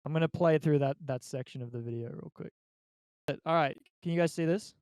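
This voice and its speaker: A man's voice: fairly high pitch, brisk, darkish tone, clean tone, no room sound. A young man with an Australian accent hosting a casual group podcast chat. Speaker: podcast host.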